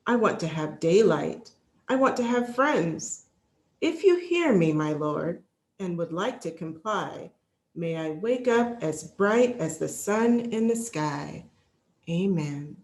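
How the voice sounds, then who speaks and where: A woman voicing an earnest, sad young girl in a storybook, hushed and pleading. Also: lowish voice, lively intonation, slow. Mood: subdued. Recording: roomy, echoing sound.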